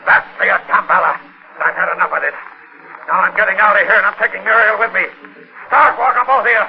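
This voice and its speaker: A man's voice: high-pitched, quick pace, gravelly. An actor playing a desperate, hot-tempered man in love, urgent and emotional, in a 1940s radio drama. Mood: angry, commanding. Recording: noisy, muffled 1940s radio recording.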